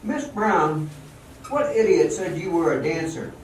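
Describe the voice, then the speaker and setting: A man's voice: a little high, animated, measured pace, background noise. A man playing an exacting, cocksure professional dancer in a theatrical amateur radio drama, with a commanding, instructive manner. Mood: exasperated. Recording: echoey hall, distant mic, music behind.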